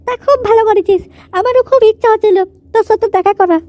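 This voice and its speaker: A woman's voice: extremely high pitch, quick pace, a little mellow, dry recording. A woman voicing a friendly, obliging young girl in a cartoon comedy, with a sweet, chatty manner. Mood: welcoming, chatty.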